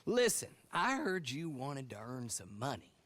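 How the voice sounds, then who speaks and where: An actor playing a cheerful, roguish, talkative horse thief in a western video game, with a folksy rural American drawl. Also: male voice, mid-range voice, measured pace, no room sound. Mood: sly, jovial. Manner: drawling, chuckling, folksy, animated.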